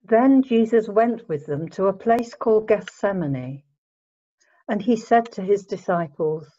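A woman narrating a dramatic scripture reading in a measured way. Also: mid-range voice, animated, dark tone. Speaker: narrator, church member. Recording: dull lo-fi home recording.

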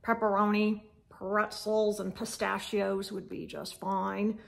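A woman's voice: mid-range voice, level tone, slow, creaky, voice pushed down. A woman voicing a grumpy, grumbling toad from a children's storybook. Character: grumpy toad, male-sounding storybook character.

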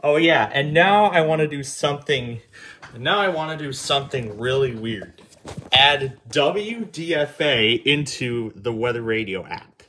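Bright-voiced man walking through a tutorial. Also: medium pitch, lively intonation, unhurried. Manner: repeats and rephrases himself. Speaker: tutorial host. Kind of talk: casual screen-demo tutorial, explaining step by step. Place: indoor room at a table.

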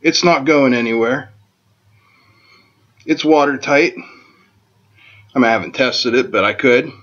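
A man's voice: mid-range voice, a little slow, fairly bright tone, lo-fi sound. A middle-aged man hosting a welding lesson in his home workshop, talking in a plainspoken, folksy way with self-deprecating jokes. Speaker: welding instructor and host. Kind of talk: casual how-to demonstration.

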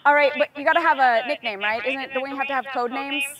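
A crowd is audible behind a young woman with a high voice, speaking outdoors. She works as a reporter and interviews in a casual, playful, upbeat way, chatting freely. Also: fast talker. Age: young adult. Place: outdoors in stadium stands.

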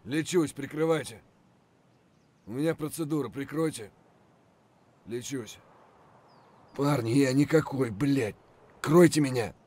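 An actor playing a gruff, wary, foul-mouthed male mercenary soldier in terse video game voice lines. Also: medium pitch, measured pace, long pauses, a little mellow, close to an everyday voice. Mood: pained, urgent.

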